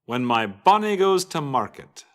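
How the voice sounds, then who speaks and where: A showy little tavern bard, acted out by a male narrator, addressing the room in a raised, loud voice. He is theatrical and projects like a seasoned fantasy storybook entertainer. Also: a little high, sing-song, measured pace, voice pushed up. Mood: showy, announcing. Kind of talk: stage announcement in a fantasy story.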